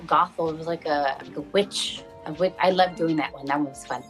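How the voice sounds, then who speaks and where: Casually chatting woman with animated storytelling. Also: sits low, fairly quick, soft background music. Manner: animated storytelling, acts out quoted reactions. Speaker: voice actor being interviewed. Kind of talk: casual interview chat.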